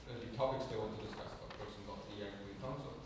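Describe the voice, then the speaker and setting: Young man on a panel, halting delivery, far mic, strong room echo. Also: lowish voice, steady tone. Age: 20s. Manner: hesitant, searching for words. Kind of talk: panel talk. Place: large wood-panelled conference room. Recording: echoey room from far off, hum.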